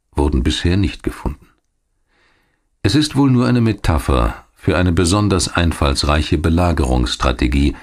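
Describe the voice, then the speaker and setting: A man narrating a scripted history documentary in a measured, articulate storytelling manner. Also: a bass voice, darkish tone. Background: music.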